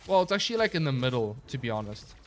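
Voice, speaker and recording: A relaxed man talks through a live gaming stream into a desk mic, rambling loosely and answering viewers as he goes. His voice sits at an ordinary middle pitch and carries a slight stuffy, nasal edge. Quiet game music plays underneath. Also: fast. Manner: laid-back, rambling, reads chat aloud and answers. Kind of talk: casual live-stream chat while gaming.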